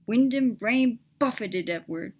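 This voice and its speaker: A woman with a fairly high voice narrates a children's storybook. She reads from the text as a storyteller. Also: expressive, a little slow, slightly rough.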